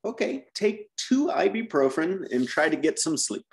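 Patient teacher, animated pitch, corrects gently and repeats phrases. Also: moderate pace, vocal fry. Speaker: English teacher. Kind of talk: tutoring a student.

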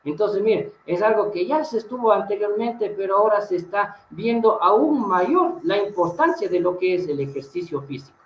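A man's voice: sits high, expressive, moderate pace, hardly any pauses. A middle-aged man with an Ecuadorian accent, a gym director speaking earnestly as a guest in a remote interview, asking emphatic questions. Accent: Ecuadorian Spanish. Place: indoor room, video call. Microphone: webcam mic on a video call. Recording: roomy, slightly dull video call audio.

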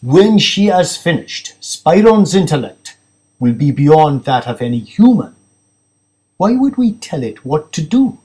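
A cold, clinical official from a thriller audiobook, acted out by a male narrator. Pitched up from plain narration, the delivery is clipped and declarative, with no warmth in it. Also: sing-song, measured pace. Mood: cold, superior.

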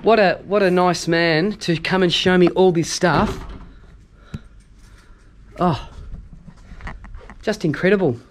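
Cheeky Australian host, high voice, light shop noise behind. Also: male voice. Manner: cheeky, teasing, fast banter. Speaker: vlogger host. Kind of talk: casual joking chat. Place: large indoor garage and bike shop.

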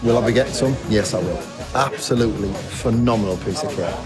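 A man's voice: lowish voice, fairly quick. A man giving an informal, approving testimonial. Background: music.

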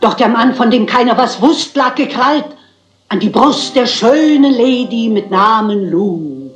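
Elderly spinster sleuth: highish, prim, articulate, stagey, roomy dub sound. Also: female voice, animated, unhurried, lo-fi sound, faint music behind. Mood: dramatic reciting. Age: older. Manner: theatrical, articulate, dignified. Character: prim, plucky elderly spinster amateur sleuth auditioning as actress. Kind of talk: German dubbed crime drama acting.

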